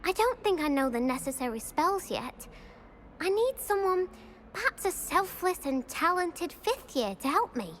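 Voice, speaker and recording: Prim whiny british schoolgirl for a game, high voice, feels hard done by, bit of noise behind. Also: sing-song, a little slow, exaggerated voice. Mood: pleading, huffy. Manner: dramatic, plaintive, haughty. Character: aggrieved, self-pitying schoolgirl, indignant and prim. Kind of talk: video game cutscene acting.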